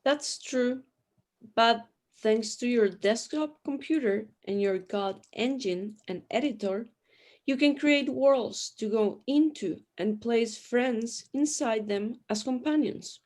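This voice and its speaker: A woman playing a knowing, cryptic afterlife companion with deliberate storytelling delivery in a sci-fi radio drama. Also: on the high side, a little mellow.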